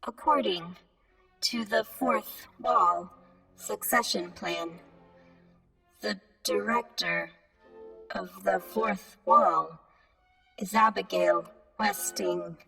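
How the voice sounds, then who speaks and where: Female facility computer, woman actor, impersonal countdown delivery, sharp gravelly edge, big reverb. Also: medium pitch, drawn-out words, long pauses, faint music behind, exaggerated voice. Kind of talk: automated announcement.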